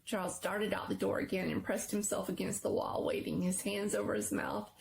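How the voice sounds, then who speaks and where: Creaky-voiced woman reading suspenseful fiction. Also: mid-range voice, little pitch movement, fairly quick, a little mellow, slight background noise, low-quality recording. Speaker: story reader. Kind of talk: dramatic narration of a scary story.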